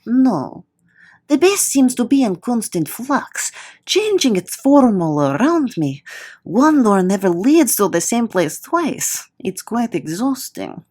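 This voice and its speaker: A haughty, fussy woman, played by an actress in a comic audio drama, speaks slowly with big swings in pitch. Her phrasing is formal and disdainful, as if easily exasperated. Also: big pitch swings, darkish tone, slightly rough, full character voice. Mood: weary disdain.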